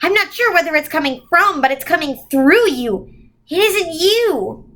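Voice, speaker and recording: A woman's voice: very high-pitched, lively intonation, moderate pace, a bit bright, pitched above the performer's own voice. A woman voicing an earnest, stubborn small boy in a storybook, blunt and a little troubled.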